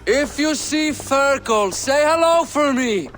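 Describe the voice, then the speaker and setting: A man's voice: extremely high pitch, lively intonation, slow, a bit bright, soft background music, full character voice. Drawling, boozy king. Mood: mocking. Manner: jovial, drawling, mocking. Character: boastful boozy middle-aged male king. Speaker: game character. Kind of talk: video game cutscene acting.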